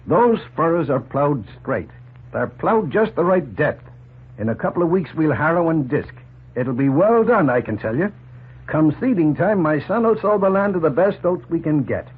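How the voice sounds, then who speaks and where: An actor playing a plain-spoken, opinionated middle-aged farmer in a folksy, emphatic 1940s radio drama style. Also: male voice, a little high, very animated, moderate pace, breathy edge. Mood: worked up. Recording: noisy, tinny 1940s radio recording.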